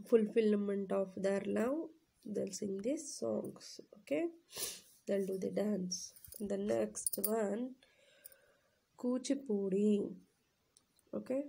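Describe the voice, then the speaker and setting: Woman teacher, slow and gravelly, has a cold, keeps checking her class. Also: mid-range voice, mellow. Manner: fillers and checking questions to students. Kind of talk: classroom lecture, reading then explaining.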